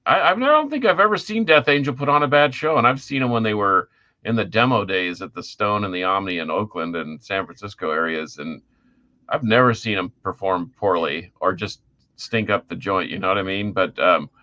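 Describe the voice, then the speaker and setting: A middle-aged man with a lowish, forceful voice talks music as a podcast co-host. He is enthusiastic and slangy, in casual conversation. The audio is a little dull. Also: expressive, moderate pace.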